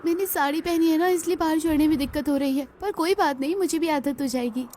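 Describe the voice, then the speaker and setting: A woman's voice: high voice, quick pace, dark tone, a little hiss or hum, lightly acted. A woman voicing an eager, sweet young daughter-in-law from the city in a cartoon story. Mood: apologetic.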